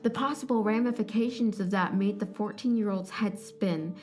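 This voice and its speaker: A woman's voice: mid-range voice, moderate pace, a little mellow. A woman reading scripted story narration as the narrator of an audio drama.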